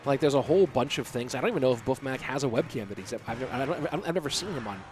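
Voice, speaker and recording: A man's voice: medium pitch, fast, soft background music. A young man with a stuffy nose from a cold, casually casting a match and chatting with viewers between plays. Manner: relaxed, chatty, answers chat questions between plays. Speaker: esports commentator. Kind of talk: solo play-by-play casting and reading chat.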